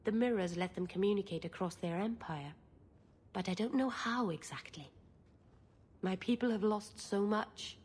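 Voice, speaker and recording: A mid-pitched actress's voice for a young female elf mage in a fantasy game. She sounds gentle, naive and stubbornly hopeful, with an earnest, halting delivery. Faint sound sits behind her. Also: slightly rough, lightly acted. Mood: solemn. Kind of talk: dramatic video game acting.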